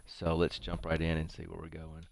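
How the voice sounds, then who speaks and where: A man's voice: rumbling low, completely flat delivery, moderate pace, gravelly, dry recording, studio quality. A man with a congested, sniffly head cold, giving casual, rambling game commentary as the host of a livestream.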